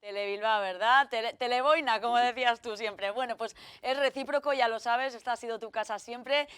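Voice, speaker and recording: A woman hosts a TV magazine with a fairly high voice. She talks in a chatty, rambling way, with many fillers and restarts. The sound is close and dry, with no room echo. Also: a little fast, a bit bright, clear, resonant tone. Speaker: TV show host. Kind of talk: chatty TV interview.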